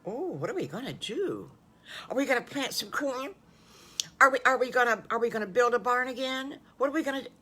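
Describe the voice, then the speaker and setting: A woman's voice: medium pitch, very animated, normal speed, sharp tone, voice pushed up. An older woman voicing a six-year-old boy wolf in a bedtime story, wide-eyed and full of questions. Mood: excited.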